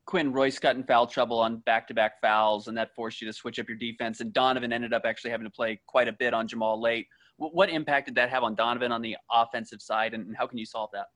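A man asks a press conference question as a sports reporter. He talks quickly at a medium pitch, and the sound is dry. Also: fairly even intonation, a bit bright, no echo.

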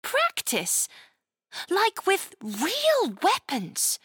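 A woman's voice: very high-pitched, huge pitch swings, extremely slow, fairly bright tone, no room sound, clean studio sound, pitched above the performer's own voice, full character voice. A woman voicing a young, innocent, wide-eyed girl with a hesitant manner in a children's fairy tale. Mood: eager.

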